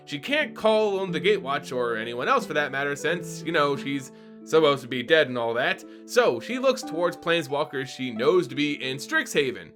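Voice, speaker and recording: Bright, animated male story narrator. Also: on the high side. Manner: expressive, playful teacher asides. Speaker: lore channel host and narrator. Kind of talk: dramatic story reading and commentary.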